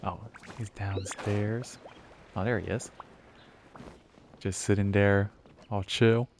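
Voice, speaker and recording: A woman's voice: a bass voice, fairly even intonation, takes it slowly, background noise. A woman game streamer giving casual, offhand reactions during gameplay.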